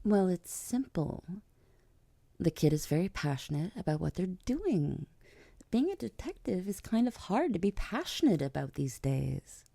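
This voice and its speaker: Vampire queen , played by a woman: haughty and sardonic, lowish creaky voice, condescending audio-drama delivery. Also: big pitch swings, unhurried, dark tone, dry recording, full character voice. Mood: sly, teasing. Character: haughty, powerful vampire queen, teasing and world-weary. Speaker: voice actor in an audio roleplay. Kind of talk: ASMR audio drama acting.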